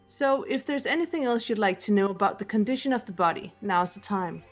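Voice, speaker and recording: A woman's voice: medium pitch, faint music behind, close to an everyday voice. Woman voicing a composed teen girl detective; level, lecturing, precise delivery, mid-range voice. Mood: cool. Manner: calm, measured, lecturing. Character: cool, analytical teenage girl detective. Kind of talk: amateur voiced game playthrough.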